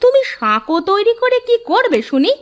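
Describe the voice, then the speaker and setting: A woman voicing a curt, nagging, unimpressed village wife in a comedy cartoon, scornful and sharp-tongued. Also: very high-pitched, very animated, normal speed. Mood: sceptical. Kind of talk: Bengali comedy cartoon dubbing.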